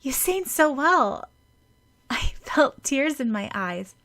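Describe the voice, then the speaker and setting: A woman's voice: sits high, very animated, slow, a bit bright, voice pushed up, exaggerated voice. A woman voicing a sweet, earnest, admiring schoolgirl in an audiobook. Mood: warmly admiring. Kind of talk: audiobook character voice.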